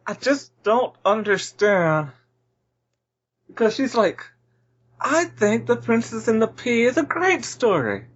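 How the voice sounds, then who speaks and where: A woman with a high, very lively voice banters casually as a podcast co-host. She sounds blunt, sarcastic and exasperated. The recording is slightly dull and lo-fi. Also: slow, husky. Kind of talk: casual film-discussion banter.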